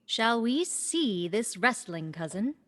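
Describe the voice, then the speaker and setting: A woman's voice: on the high side, sing-song, takes it slowly. A woman playing a wistful but witty young noblewoman, a banished duke's daughter, in a Shakespearean radio play. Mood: curious.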